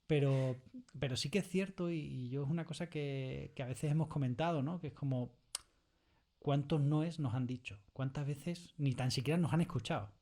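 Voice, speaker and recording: A man's voice: a little high, normal speed, dark tone. A man with an accent from Spain talking casually as an interview guest, repeating phrases for emphasis. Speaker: audio fiction scriptwriter, interview guest.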